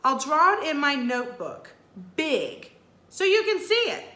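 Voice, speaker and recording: Woman doing an earnest, animated young storybook boy. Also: sits high, unhurried, reverberant, pitched above the performer's own voice, exaggerated voice.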